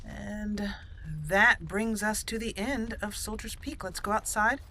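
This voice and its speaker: A woman's voice: mid-range voice, fairly even intonation, unhurried, bright, forceful tone. A woman hosting a roleplay gameplay video, chatty and friendly, laughing easily as she talks her viewers through the game. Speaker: gaming channel host. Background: game music.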